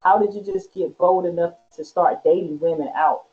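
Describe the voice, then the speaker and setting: A woman's voice: on the low side, steady tone, normal speed. A woman hosting an interview, asking questions and answering with short encouragements like "wow". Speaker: host. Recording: dull lo-fi sound.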